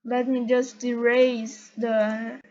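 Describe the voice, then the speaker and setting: A high-voiced woman from Brazil speaks English as she explains math symbols. She talks slowly and haltingly and keeps correcting herself. The home recording sounds dull and lo-fi. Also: level tone, a little mellow. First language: Portuguese. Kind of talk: unscripted explanation while drawing.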